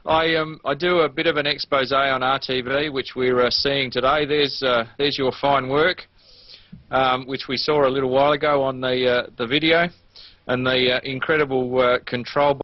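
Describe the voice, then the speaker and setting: A man in casual unscripted technical chat, talking in a chatty, halting way. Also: medium pitch, little pitch movement, dry recording. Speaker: amateur television operator. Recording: dull, dry lo-fi transmission audio.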